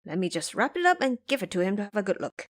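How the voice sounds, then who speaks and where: A woman's voice: medium pitch, very animated, fast talker. A woman voicing a brash, cocky male monkey disciple in an audio drama, boastful and carefree. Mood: dismissive, confident.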